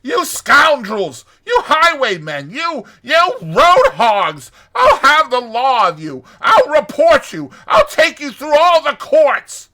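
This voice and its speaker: A man's voice: huge pitch swings, takes it slowly, hardly any pauses, heavily acted. Man acting out a shrieking, indignant storybook water rat. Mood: outraged fury. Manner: shrill, blustering shouts. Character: indignant male water rat, ranting in outrage. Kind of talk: dramatized storybook reading.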